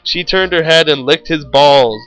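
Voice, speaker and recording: Man, bright forceful voice, mid pitch, dramatic story reading, mock-serious, faint music behind. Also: unhurried, smooth, dry recording, poor audio. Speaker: story reader, show host.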